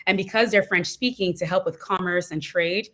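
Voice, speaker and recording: A middle-aged woman from California, a guest in a casual interview, talking in a reflective, measured way. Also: fairly low pitch, fairly bright tone. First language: Igbo.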